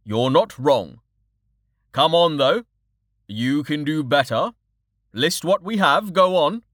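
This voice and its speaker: A wily market trader and fond father, acted by a male narrator, coaxing and persuasive like a showman. His pitch moves around a lot, and he speaks in a measured, unhurried way for a storybook. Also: animated, a bit bright, no echo, clean studio sound, full character voice. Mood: coaxing, firm. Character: cheerful, wily merchant father, fond but firm.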